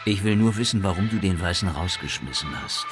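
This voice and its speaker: Hard-boiled male detective, very deep, gruff and impatient, radio crime drama, light music beneath. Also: expressive, fairly quick. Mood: curt, pressing. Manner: blunt, gruff, demanding. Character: tough, impatient male Harlem police detective interrogating suspects.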